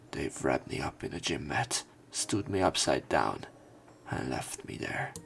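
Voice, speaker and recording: A man reading a visual novel aloud as a let's play narrator, in an expressive storytelling style. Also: extremely low pitch, gravelly, a little hiss or hum.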